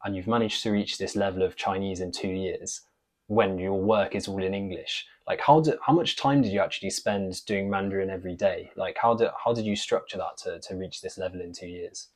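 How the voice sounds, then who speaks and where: A man's voice: deep voice, a little fast. A man hosting an interview, asking a guest curious, stacked follow-up questions. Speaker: host, Mandarin teacher.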